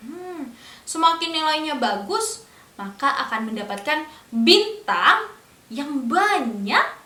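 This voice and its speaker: A young woman telling a picture-book story to small children, in a slow sing-song way, stopping to ask them questions. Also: high-pitched, very animated, pushed and bright, recorded from a distance. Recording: echoey room, a bit off-mic.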